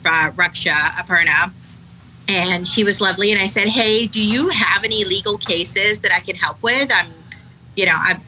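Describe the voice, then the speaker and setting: A woman in her thirties with an American accent, a guest attorney answering interview questions candidly and reflectively, with frequent fillers and pauses. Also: on the low side, pushed and bright, low-quality recording. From Pakistan, raised in Atlanta. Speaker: guest, attorney, nonprofit founder. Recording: faint background noise.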